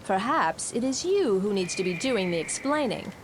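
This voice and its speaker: An actress playing an earnest, resolute rebel leader with formal, measured speech in a fantasy game cutscene. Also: female voice, mid-range voice, sing-song, noisy background, lightly acted. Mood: firm, explaining.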